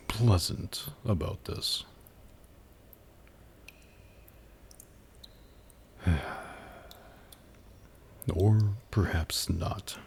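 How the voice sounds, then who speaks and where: ASMR demon buyer, acted by a man: very deep, gravelly, mocking and flirtatious, predatory, breathy close-mic delivery. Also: warm tone, a little hiss or hum, heavily acted. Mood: curious, coaxing. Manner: slow, taunting, condescending purr. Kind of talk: ASMR roleplay acting.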